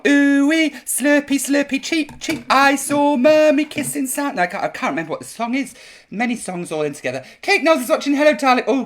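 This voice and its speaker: Drag host guy, croaky voice, high and bouncy, camp and chatty. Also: bright, forceful tone. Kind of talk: live-streamed chat show, reading viewer comments.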